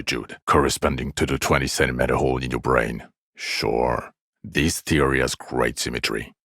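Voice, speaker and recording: A man's voice: extremely low pitch, pushed and bright, no room sound, exaggerated voice. A man voicing a bitter, exasperated police detective in a video game, with dry, cutting sarcasm and weary contempt. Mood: weary, dismissive. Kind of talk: dramatic game dialogue.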